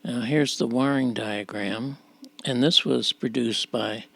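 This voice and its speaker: Slow, gravelly man explaining repairs. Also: medium pitch, fairly even intonation, fairly bright tone, no room sound. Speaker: radio repair presenter. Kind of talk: unscripted repair walkthrough narration.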